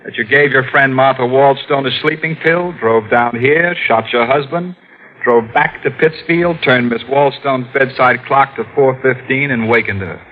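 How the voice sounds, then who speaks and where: A man's voice: mid-range voice, a little hiss or hum, close to an everyday voice. A man playing a stern district attorney. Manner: crisp, measured, accusing. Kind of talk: 1940s radio acting. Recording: muffled old radio broadcast.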